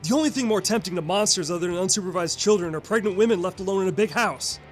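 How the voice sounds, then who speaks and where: An actor playing a folksy, earnest retired uncle in an audio sketch comedy. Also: male voice, high voice, fast talker, soft background music, close to an everyday voice. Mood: urgent, incredulous. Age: older. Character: retired fatherly uncle, earnest and matter-of-fact about monsters.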